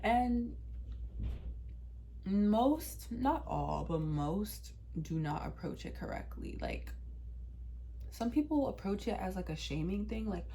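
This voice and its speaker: A woman's voice: medium pitch, very animated, takes it slowly, vocal fry, pauses often, warm tone, a little hiss or hum, low-quality recording. A young woman in her twenties from Washington, D.C., telling a personal story to her channel in a candid, chatty way with plenty of "um" and "like". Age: 20s. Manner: candid, chatty, many fillers like "um" and "like". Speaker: vlogger.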